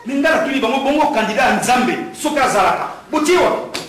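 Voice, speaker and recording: A middle-aged man from Congo giving a speech at a press conference, moving from measured statements to fervent, rousing oratory. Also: animated, loud music behind the voice. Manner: rousing, rising to fervent preaching pitch when speaking to the crowd. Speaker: political candidate at a press conference. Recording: echoey hall from far off, some noise.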